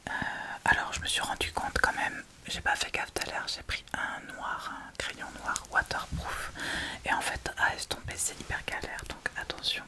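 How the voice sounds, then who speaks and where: A woman giving an informal ASMR makeup tutorial, talking through each step in a hesitant, unhurried way.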